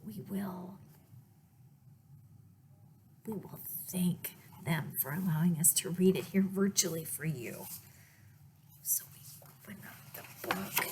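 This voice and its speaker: Slow-paced woman reading a children's storybook. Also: on the low side, background noise. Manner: deliberate, expressive, pausing to show the pictures. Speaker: librarian reading a picture book for story time. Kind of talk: read-aloud children's storytime, with asides to listeners.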